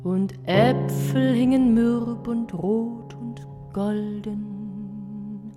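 Slow female singer over prominent music. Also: medium pitch, steady tone. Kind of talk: sung poem setting, chanson-like song. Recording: music recording with instruments. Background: musical accompaniment.

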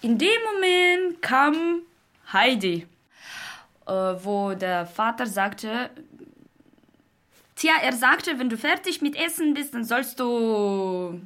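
High-voiced young woman, halting, non-native speaker. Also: animated, a bit bright. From Uzbekistan. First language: not German. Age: 20s. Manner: halting, searching for words. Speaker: interviewee retelling a film. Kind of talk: unscripted spoken retelling.

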